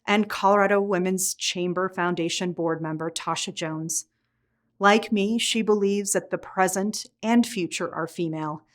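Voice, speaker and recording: Earnest woman giving a prepared speech. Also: lowish voice. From Poland. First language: Polish. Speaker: foundation board chair.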